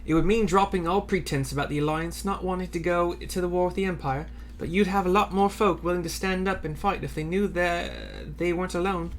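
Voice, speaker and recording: Male reader as a frank female resistance fighter in a game. Attempted Irish accent, emphatic, raised pitch. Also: expressive, fairly quick, few pauses, a little hiss or hum. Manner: blunt, emphatic. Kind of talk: amateur read-aloud of game dialogue.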